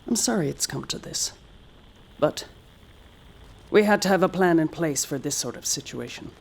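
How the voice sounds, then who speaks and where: An actress playing a stoic, resigned mission commander in an audio drama, clipped, restrained and matter-of-fact, with a husky voice. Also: female voice, sits low, animated, moderate pace, heavily acted. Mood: calm, grim.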